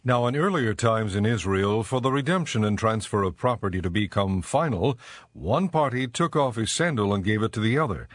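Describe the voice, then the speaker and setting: A man narrating scripture from a script in a measured, solemn manner. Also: on the low side, lively intonation, no room sound, clean studio sound.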